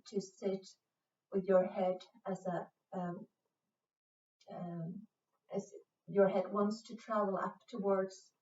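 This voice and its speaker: A woman from Sweden with a Swedish accent, a native Swedish speaker, teaching a yoga class in English, speaking slowly and patiently with frequent hesitations. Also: mid-range voice, mellow, roomy sound, distant mic, lo-fi sound. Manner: slow, patient, with many hesitations. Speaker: yoga instructor. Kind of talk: guided yoga class instruction.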